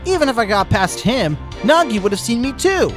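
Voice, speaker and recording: A man's voice: extremely high pitch, sing-song, fairly quick, pitched above the performer's own voice. A man voicing Flash, a hyper male sloth witness who talks like a peppy fitness coach. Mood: pumped. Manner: loud, peppy coach-like shouting. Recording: music bed behind voice.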